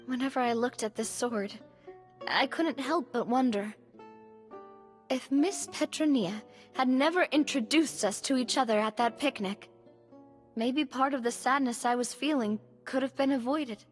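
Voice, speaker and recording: An actress playing a calm, composed swordswoman who speaks in a measured, gentle way. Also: high-pitched, faint music behind. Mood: wistful. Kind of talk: game voice acting. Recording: long hall reverb.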